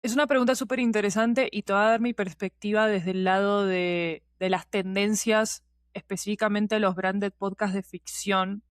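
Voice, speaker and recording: Female podcast guest, mid-pitched, chatty and explanatory with frequent tangents, dry studio-close sound. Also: steady tone, moderate pace, dry recording. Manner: chatty, digressive, checking in with listener. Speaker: podcast guest, producer and teacher. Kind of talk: casual explanatory conversation.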